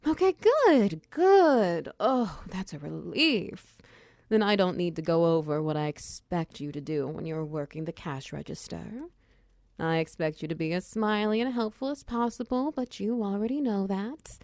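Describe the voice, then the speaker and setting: A woman's voice: mid-range voice, expressive, normal speed, a little mellow, no room sound, studio quality, natural voice. A woman playing a friendly, flustered minotaur wizard who runs a potion shop, chatty and giggly in a roleplay audio drama. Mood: relieved, chatty. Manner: chatty, giggly, casual and conversational. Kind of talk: roleplay ASMR audio drama, addressing the listener.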